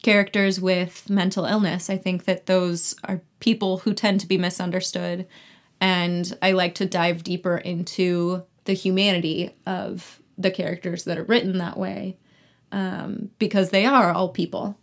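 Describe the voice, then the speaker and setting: Young woman, slightly low voice. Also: little pitch movement, unhurried. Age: young adult. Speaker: actor, interview guest. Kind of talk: casual interview chat.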